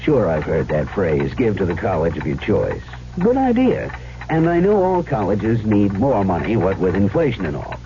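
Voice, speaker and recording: An actor playing an affable, self-justifying graduate in a 1970s public service radio spot. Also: male voice, fairly low pitch, huge pitch swings, moderate pace, mellow, slight background noise. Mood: affable, evasive. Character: busy, excuse-making college graduate, affable. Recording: lo-fi radio broadcast over music.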